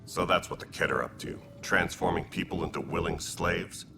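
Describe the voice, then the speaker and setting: A man playing a hard-nosed, blunt militia commander with a gravelly voice in a video game. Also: sits low, normal speed. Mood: grim. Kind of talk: video game cutscene acting. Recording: clear background ambience noise.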